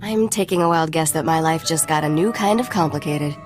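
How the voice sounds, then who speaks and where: A woman's voice: low voice, fairly quick, music in the background, close to an everyday voice. A woman playing a shrewd, self-assured young smuggler in a video game, cool and wry.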